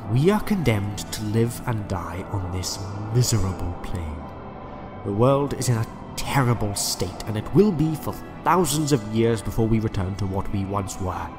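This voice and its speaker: Man doing ancient evil scientist emperor, low voice, condescending and polite, loud music behind, audio drama. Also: animated, natural voice. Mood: grave, musing. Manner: measured, condescending, coldly courteous. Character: ancient, sinister male scientist emperor, coldly polite villain.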